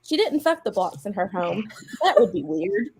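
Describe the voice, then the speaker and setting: A woman's voice: medium pitch, big pitch swings, normal speed, darkish tone, slight background noise. A woman giving a dramatic reading of a story, mixed with casual chat among friends. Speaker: guest reader on a live show.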